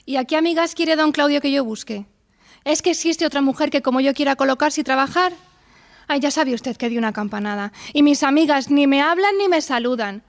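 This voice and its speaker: A woman's voice: high voice, brisk. An actress playing an earnest, determined young woman in a theatrical radio drama. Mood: guarded.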